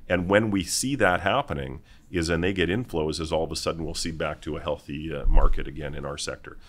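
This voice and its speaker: A middle-aged man, a mining executive, answering interview questions in a halting, deliberate way with many restarts. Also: a bass voice, a bit bright. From Canada, raised in Vancouver. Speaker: mining company executive, guest.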